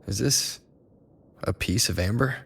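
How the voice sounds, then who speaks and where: A man's voice: on the low side, unhurried, creaky, a bit bright, slightly rough, heavily acted. An actor playing a thoughtful young male traveler in a video game, phrasing things a little tentatively. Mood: curious. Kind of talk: video game cutscene voice acting.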